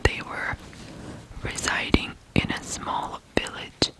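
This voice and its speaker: An ASMR host from Ukraine, telling stories in a hushed whisper with frequent pauses between short phrases. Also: low-quality recording. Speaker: ASMR video host and storyteller. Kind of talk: whispered ASMR true crime storytelling.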